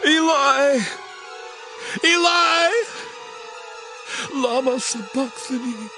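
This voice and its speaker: A suffering, dying holy man in a scripture drama. His cries are high-pitched and extremely slow, drawn out in anguish. Music plays behind him. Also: vocal fry, full character voice.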